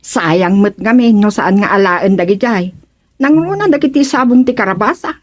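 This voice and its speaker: An actress playing a lively, chatty village woman in a radio comedy drama. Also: medium pitch, normal speed, lightly acted.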